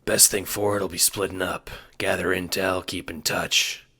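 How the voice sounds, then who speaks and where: A man voicing a practical, level-headed gangster second-in-command, measured and matter-of-fact. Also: low-pitched, flat delivery, sharp tone, husky, heavily acted.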